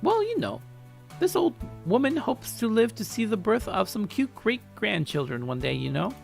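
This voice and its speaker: Guy doing a doting old granny, way high voice, teasing and coaxing, swoops around. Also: big pitch swings, normal speed, creaky, faint music behind, heavily acted. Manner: playfully crafty, coaxing. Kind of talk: character voice in a story reading.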